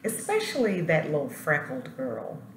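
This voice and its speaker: A woman's voice: sits low, huge pitch swings, normal speed. A woman voicing a friendly, naive, male school building from a storybook with earnest, childlike wonder. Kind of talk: storybook character voice. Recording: roomy echo, light background noise.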